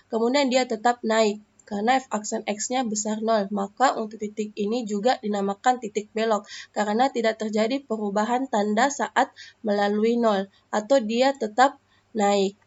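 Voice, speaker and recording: A young woman from Indonesia, a math student, explains a lesson step by step in a screen recording, pausing often with hesitations. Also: fairly high pitch, darkish tone, dull audio.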